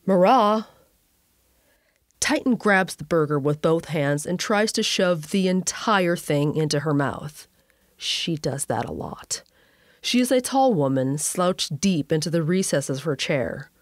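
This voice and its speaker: Low-pitched woman narrating a wry story. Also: lively intonation, measured pace, no room sound, studio quality. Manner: wry, conversational asides. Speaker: narrator and writer of a sci-fi audio series.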